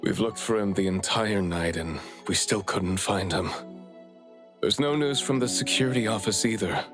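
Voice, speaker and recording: A man's voice: lowish voice, moderate pace, natural voice. An actor playing a worried, polite father of a missing boy in a video game cutscene, earnest and anxious. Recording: background music under the voice.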